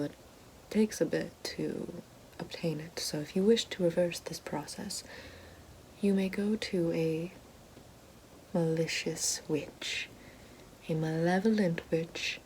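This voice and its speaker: A woman playing a regal, menacing evil queen witch in an ASMR fantasy roleplay, speaking slowly and formally in a soft, breathy, often whispered voice. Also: fairly low pitch, fairly even intonation, a little hiss or hum, poor audio. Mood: solemn, ominous. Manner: slow, deliberate, formal.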